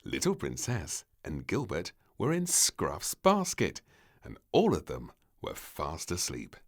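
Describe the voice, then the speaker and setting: A man narrating a children's storybook in an expressive, theatrical and measured way. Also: deep voice.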